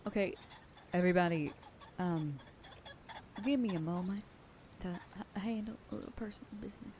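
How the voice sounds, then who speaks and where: A stern, protective werewolf sheriff girlfriend, played by a woman, bossy and scolding. Her voice sits low and mellow. A faint hiss of noise lies under the recording. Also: normal speed. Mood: whispering. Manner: scolding, bossy then whispering tenderly. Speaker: voice actor. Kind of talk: F4M ASMR roleplay.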